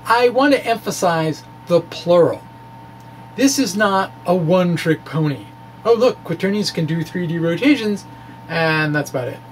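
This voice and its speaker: A man's voice: high voice, lively intonation, normal speed, a little hiss or hum, poor audio. A man reading his science blog aloud as an informal explainer, with quirky, emphatic phrasing. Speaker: science blogger presenting physics puzzles. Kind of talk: dramatic reading of a physics blog with asides.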